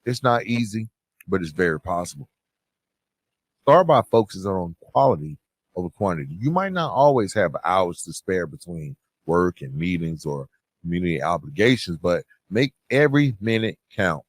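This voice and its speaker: A man hosting a solo podcast, giving advice and encouragement in an earnest, deliberate way with emphatic phrasing. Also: sits low, sing-song, no room sound. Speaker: podcast host.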